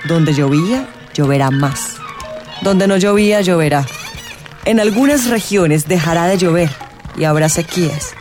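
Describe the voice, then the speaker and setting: A woman acting a mystical, teasing tarot reader in a radio drama, theatrical and suggestive, with dramatic pauses. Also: on the low side, darkish tone, close to an everyday voice. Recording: music behind the voice. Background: music.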